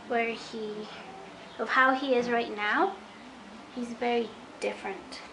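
Slow-talking woman, casual vlog chat. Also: on the high side, vocal fry, noisy background. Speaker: dog groomer. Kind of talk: casual hands-on vlog chat while working. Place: indoor grooming room with metal tub. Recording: music playing in the background. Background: radio music.

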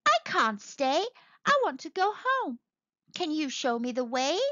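A woman plays a small, earnest storybook girl with a high, bright voice. The delivery is wide-eyed and pleading, with big lifts in pitch. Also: big pitch swings, a little slow, no room sound, highs cut off, full character voice.

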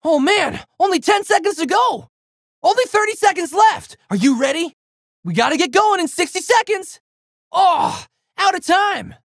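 A man's voice: extremely high pitch, animated, moderate pace, fairly bright tone, no room sound, clean studio sound, exaggerated voice. A man voicing a plucky, goofy teenage boy hero with slangy, exuberant delivery for a video game. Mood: battle-pumped, shouting. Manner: exuberant, slangy surfer-dude boyishness. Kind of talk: video game character voice lines.

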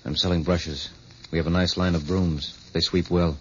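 Man playing low-voiced American newspaperman. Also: steady tone, moderate pace, natural voice. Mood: guarded. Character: easygoing, discreet American newspaper correspondent. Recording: dull, faintly hissy old radio recording.

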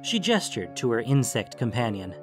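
A man narrates a scripted fantasy tale. His voice sits at an average male pitch, and quiet music runs underneath. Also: very animated, moderate pace.

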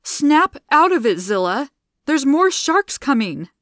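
A woman voicing a tough, bossy gunslinger who barks orders loudly. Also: high voice, very animated, slow, a little hiss or hum, pitched above the performer's own voice, exaggerated voice. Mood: urgent. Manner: loud, barking orders.

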